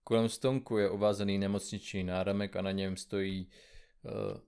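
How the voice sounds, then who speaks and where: A man playing an impatient, grumpy investigative journalist in an audio comedy drama, with a gruff, curt, colloquial manner. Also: deep voice, level tone, a little mellow. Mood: matter-of-fact.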